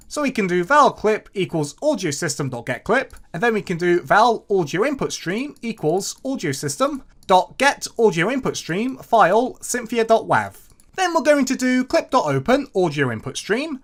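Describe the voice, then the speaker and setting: A woman presenting a step-by-step coding tutorial in a clear, instructional way. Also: fairly high pitch.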